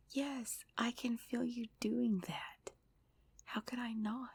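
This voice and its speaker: Woman acting a breathy, whispering sleepy girlfriend. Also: on the high side, fairly even intonation, very slow, exaggerated voice. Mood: gently pleading. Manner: soft whispering and murmuring. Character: affectionate sleepy girlfriend murmuring in bed. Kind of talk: ASMR girlfriend roleplay.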